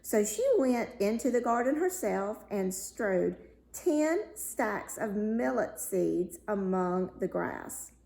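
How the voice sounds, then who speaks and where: A woman reading a fairy tale aloud as narrator, in a measured, expressive storytelling manner. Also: medium pitch, creaky. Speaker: story reader. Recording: roomy, echoing sound.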